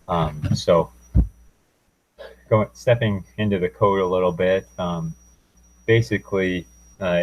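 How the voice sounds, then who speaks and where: Very low-voiced man, presenting in flat tones. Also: dull audio. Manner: hesitant, informal, unscripted. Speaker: student presenting a class project. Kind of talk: technical presentation, walking through a demo.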